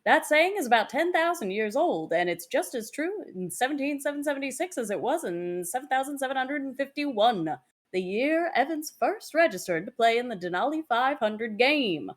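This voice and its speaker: A woman's voice: sits high, big pitch swings, moderate pace. A woman narrator reading a scripted sports guide aloud as narration.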